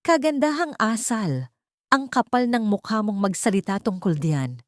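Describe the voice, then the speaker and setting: An elderly, high-born mother-in-law acted out by a woman narrator in an audio melodrama. She is furious and bitter, accusing with indignation in a raised pitch, with a close, dry sound. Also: sing-song, normal speed, no echo, lightly acted. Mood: bitter, indignant. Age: older.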